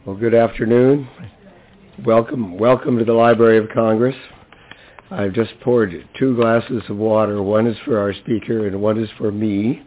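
A hoarse older man with a fairly low voice addresses a public event as its host. He is chatty and lightly humorous in an informal speech. The recording is thin and phone-like. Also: steady tone, moderate pace. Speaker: library center director introducing a speaker.

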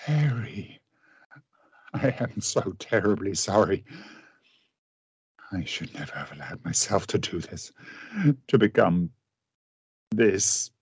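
An actor playing a tormented, remorseful gentleman scientist in a radio play, speaking with formal, brooding diction. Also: sits low, expressive, takes it slowly, pauses often, breathy edge, dry recording, full character voice.

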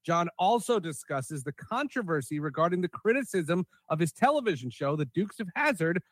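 A man with a high-pitched voice hosts a podcast in a close, dry recording. He switches between casual chat and read-out show introductions. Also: a bit bright, no room sound, rough recording. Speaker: podcast host.

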